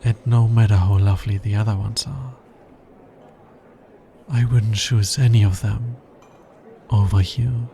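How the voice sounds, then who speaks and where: A man playing a tender, earnest alien scientist in an intimate ASMR romance roleplay, soft-spoken and measured. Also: lowish voice, steady tone, creaky, a little hiss or hum. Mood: flustered, tender. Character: smitten male alien scientist, earnest, tender, fascinated by humans.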